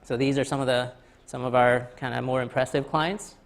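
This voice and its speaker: A man from Thailand in his thirties giving a conference talk in a lecture hall, halting with many fillers and restarts. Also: medium pitch, fairly even intonation. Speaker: guest speaker.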